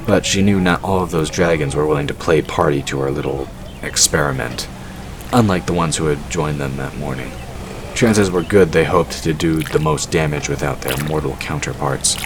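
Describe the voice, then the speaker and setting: Narrator: male, very deep bass voice, scripted fantasy storytelling, noticeable background noise. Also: normal speed. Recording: clearly noisy background. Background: ambient sound effects.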